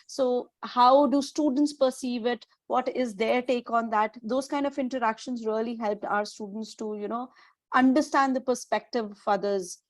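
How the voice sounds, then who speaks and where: A woman's voice: a little high, steady tone, moderate pace, no echo. A woman teacher from India, speaking in a webinar with frequent hesitations and fillers. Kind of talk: webinar discussion.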